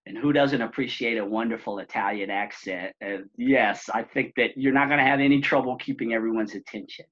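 A middle-aged man hosts an interview over a video call, his voice husky and slightly breathy. The call audio sounds dull and lo-fi, and he speaks in a measured, polished way with a few fillers. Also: mid-range voice, fairly bright tone. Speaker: podcast host. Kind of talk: interview hosting. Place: office room with plain wall.

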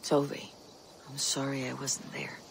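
A woman's voice: extremely low pitch, monotone, unhurried, gravelly, a little hiss or hum, heavily acted. A woman voicing a stoic, resolute female Viking warrior in a video game, grave, firm and plain-spoken. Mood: gentle concern. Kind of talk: dramatic video game acting.

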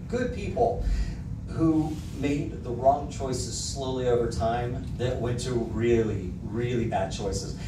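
A man's voice: mid-range voice, background noise. Middle-aged male pastor in an echoey hall. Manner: reads song lyrics aloud with emphasis, pointing at the screen. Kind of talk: sermon. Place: church hall with projector screen. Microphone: handheld mic, filmed from the back of the room. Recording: echoey hall, mic heard from a distance.

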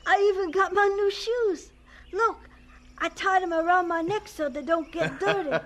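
A timid, eager farm boy of ten in a radio drama. The voice is very high and bright, with a polite, hesitant delivery. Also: normal speed. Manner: hesitant, respectful.

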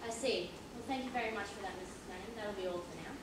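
A woman playing a brisk, dry police inspector, polite but firm, in an amateur stage play. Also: medium pitch, natural voice. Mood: brisk, probing. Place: large theatre stage, indoors. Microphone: far camera. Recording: echoey theatre from far off, noisy.

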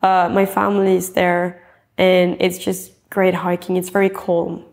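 A woman's voice: lowish voice, completely flat delivery, unhurried, creaky, a little mellow. Woman, 20s, from Norway; musician in a sit-down portrait interview, earnest and rambling, clip-on mic. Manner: earnest and chatty, with frequent fillers and restarts. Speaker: pop singer-songwriter. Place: dim indoor room, seated in an armchair.

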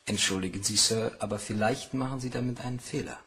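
Stiffly polite, lowish bank cashier. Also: male voice, fast, fairly bright tone, slightly rough, full character voice. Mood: formal, subdued. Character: meticulous, stoic, deferential male bank cashier. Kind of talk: German crime radio play acting.

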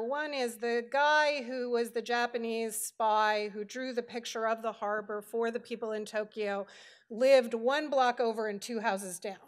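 A middle-aged American woman from Hawaii giving a conversational, story-driven lecture at an auditorium lectern, informal and digressive. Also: on the high side. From Hawaii, United States. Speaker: professor, panel speaker.

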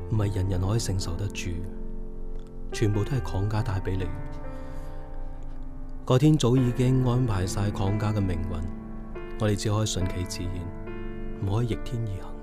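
A steadfast middle-aged husband played by a man in a radio drama, with a lowish voice. He sounds caring and reassuring, with music clearly underneath. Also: lightly acted. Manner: reassuring, affectionate.

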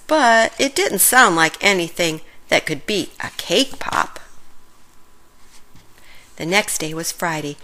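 A woman reading a children's story aloud in an expressive, animated way, with deliberate phrasing for young listeners. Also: fairly low pitch. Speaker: storybook reader.

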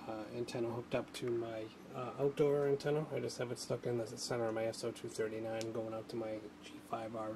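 A man's voice: mid-range voice, background noise. A man thinking aloud in a casual, unscripted how-to narration, like a hobbyist at his workbench. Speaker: hobbyist host demonstrating a radio modification. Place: indoor home workshop, at a workbench.

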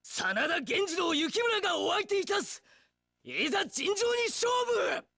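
An actor playing a hot-blooded, earnest young samurai spearman in a video game, with archaic, formal warrior declarations and a raspy voice. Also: extremely high pitch, very animated, a little slow, bright, forceful tone, no room sound, raised voice, studio quality, full character voice. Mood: fervent, battle-ready. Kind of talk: video game battle voice lines.

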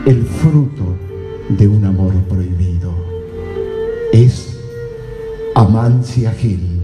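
A man playing a solemn storyteller, narrating live radio theatre with dramatic pauses. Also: on the low side. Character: solemn storyteller of a gaucho legend. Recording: echoey hall with loud music.

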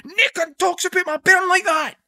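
A man's voice: very high voice, steady tone, normal speed, sharp tone, pitched above the performer's own voice, exaggerated voice. A Scottish man voicing an enraged young male goalkeeper who screams threats. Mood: raging.

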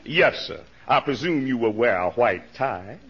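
An actor playing a polite, eager valet in a 1940s radio comedy, speaking formally and deferentially. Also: male voice, mid-range voice, animated, a little slow, breathy edge. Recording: muffled 1940s radio recording.

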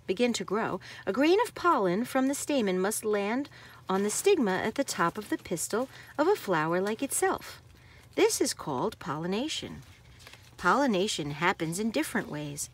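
A woman's voice: medium pitch, breathy edge. A woman reading a nonfiction picture book aloud to children like a teacher, stressing key words.

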